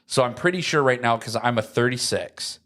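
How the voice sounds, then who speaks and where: A man's voice: mid-range voice, a little fast, fairly bright tone. A young man, chatting casually as a podcast co-host in a rambling, anecdotal, slangy way. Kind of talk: casual chat.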